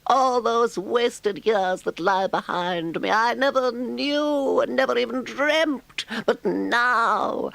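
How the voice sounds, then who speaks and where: A high creaky rapturous toad. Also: male voice, fairly bright tone, no echo, full character voice, rough recording. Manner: rapturous, dreamy and grandiose. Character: boastful dreamy male toad, obsessed with motor cars. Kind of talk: audiobook character voice.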